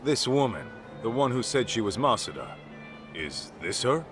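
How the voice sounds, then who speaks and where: A man's voice: medium pitch, big pitch swings, normal speed, music in the background, close to an everyday voice. An actor playing a man who questions people politely and persistently in a game dub. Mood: earnest.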